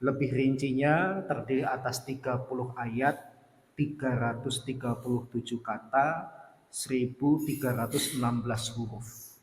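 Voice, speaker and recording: A man preaching a study lecture in a mosque, speaking with a sore throat in a steady teaching tone and reciting Arabic prayers and sayings. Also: mid-range voice, measured pace. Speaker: Islamic preacher. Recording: distant voice with long hall reverb and faint noise.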